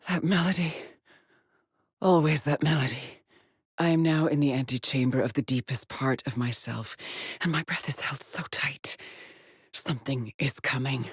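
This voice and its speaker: A determined but haunted woman stranded on an alien world in a video game. Her voice is breathy and husky, recorded close and dry. She speaks slowly, in measured, introspective log entries. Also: deep voice, no room sound. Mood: brooding, sorrowful, then uneasy, haunted. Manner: measured, introspective, grave, with sighs. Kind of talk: scripted video game monologue, solitary log narration.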